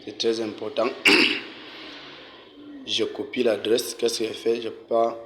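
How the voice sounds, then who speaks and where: Low flat-voiced man explaining steps. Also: slow, heavy background noise. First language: French. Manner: repeats steps, frequent "ok" and "euh", clears throat often. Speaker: channel host giving a tutorial. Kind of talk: casual screen-recorded walkthrough.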